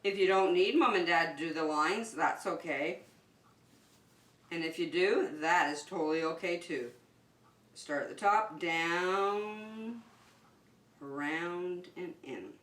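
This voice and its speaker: A woman's voice: fairly low pitch, slow, pauses often, a bit bright. A woman preschool teacher addressing young children directly in short, simple, encouraging phrases, recorded in a roomy space at a distance from the microphone. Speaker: preschool teacher hosting story and circle time. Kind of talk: children's lesson with singing.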